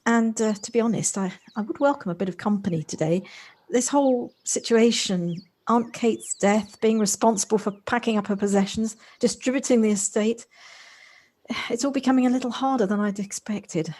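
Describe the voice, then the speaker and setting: Woman acting a high-voiced, flustered, polite city woman. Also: normal speed, darkish tone, close to an everyday voice. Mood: concerned, weary. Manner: hesitant, apologetic, stammering politely. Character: polite, flustered, self-doubting city woman, kindly and apologetic. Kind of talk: audio play acting.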